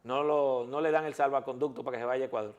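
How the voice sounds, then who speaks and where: A man's voice: medium pitch, fairly even intonation, fast talker, a little mellow, highs cut off. A man, a lawyer guest on a talk show, arguing insistently and repeating words to hold the floor. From Dominican Republic. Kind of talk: heated talk-show debate.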